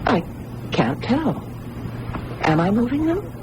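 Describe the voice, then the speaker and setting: A woman's voice: fairly high pitch, big pitch swings, slow pace. An actress playing a flighty, petulant young amnesiac woman in a 1980s radio drama, blunt and girlish. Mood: anxious, annoyed. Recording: noisy old radio broadcast recording.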